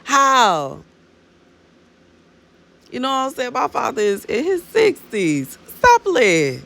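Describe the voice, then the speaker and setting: A woman's voice: a little high, sing-song, slow, gravelly, fairly bright tone. A woman in her thirties giving a casual, rambling spiritual talk to her viewers, often filling with "you know what I'm saying" and calling them "baby". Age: 30s. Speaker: minister sharing prophetic words.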